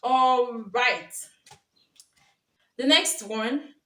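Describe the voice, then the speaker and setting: A woman's voice: on the high side, extremely slow, bright, forceful tone. Chatty Nigerian woman, echoey room. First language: Yoruba. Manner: chatty storytelling, often laughing. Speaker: vlogger and coach. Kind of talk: casual advice talk to camera. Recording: roomy, from a distance.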